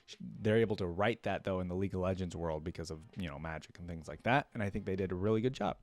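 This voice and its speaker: A man's voice: sits low, quick pace. A man hosting a reaction video, commenting casually and thinking aloud, often checking with a tagged-on question.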